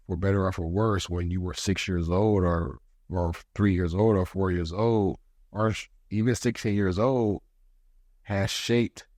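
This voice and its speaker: Deep-voiced man in his thirties hosting. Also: darkish tone, no room sound, clean studio sound. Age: 30s. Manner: reflective, pauses to gather thoughts. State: congested from allergies, voice deeper than usual. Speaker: podcast host. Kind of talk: casual solo podcast monologue.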